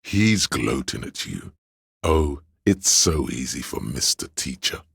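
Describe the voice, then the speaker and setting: A very deep, gravelly theatrical courtier. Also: male voice, expressive, slow, a bit bright, no room sound, clean studio sound, full character voice. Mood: shrewd, watchful. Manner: theatrical, courtly, archly dramatic. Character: flamboyant, sly male inner voice of drama, servile courtier. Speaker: game voice actor. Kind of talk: theatrical video game character lines.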